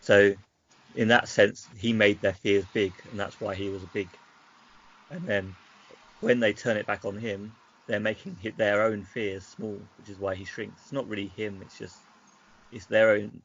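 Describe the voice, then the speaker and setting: A man's voice: lowish voice, husky, muffled recording. A man co-hosting a podcast, explaining his thoughts reflectively in casual discussion. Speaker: podcast co-host.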